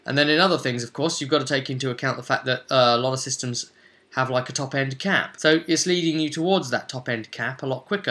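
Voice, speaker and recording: A man's voice: medium pitch, bright, forceful tone. A man presenting a tabletop role-playing video as an enthusiastic explainer, emphatic and chatty, with rhetorical asides to viewers.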